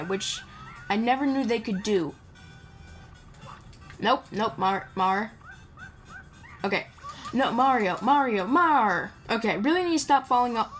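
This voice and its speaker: A woman giving casual gameplay commentary, rambling playfully and chatting to the characters on screen. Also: on the high side. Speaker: gamer playing and commenting. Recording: game music behind the voice. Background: video game music.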